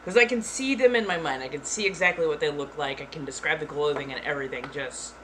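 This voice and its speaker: Blunt, deliberately monotone woman streamer. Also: low-pitched. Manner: frank, swears casually. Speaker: streamer answering chat. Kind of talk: casual live-stream chat. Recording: clear background noise.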